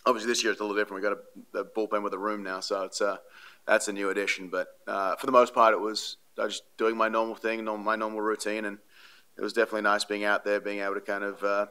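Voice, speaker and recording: Man at a podium, press room, bright forward tone, low and flat, lots of ums and restarts. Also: fast talker, clear, resonant tone. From Australia. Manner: rambling, many fillers and restarts, earnest. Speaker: baseball pitcher at a postgame press conference. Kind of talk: press conference answers. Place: press room before a sponsor backdrop. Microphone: podium microphones.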